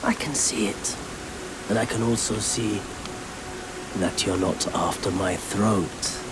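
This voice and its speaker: Low, weary wandering merchant. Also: male voice, fairly even intonation, slow pace. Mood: wary, businesslike. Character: wary, weary male wandering merchant offering goods. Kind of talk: video game character acting. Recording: loud rain-like ambient noise.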